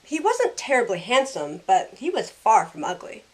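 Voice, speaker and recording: A woman's voice: mid-range voice, wildly swooping pitch, normal speed, a little mellow, rough recording. A woman playing a snarky young heroine in an audio drama, narrating in the first person and speaking her lines with wry sarcasm. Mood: wry narration. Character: snarky young woman thrown back aboard the Titanic. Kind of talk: first-person scripted narration and acted dialogue.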